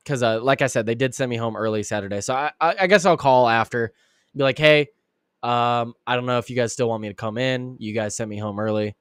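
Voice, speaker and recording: A man hosting a live commentary show who sounds raspy and under the weather, rambling with frequent restarts and fillers. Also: no echo, clean studio sound. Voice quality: raspy, deeper than usual. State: sick, clearing throat and coughing. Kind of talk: casual live-stream commentary.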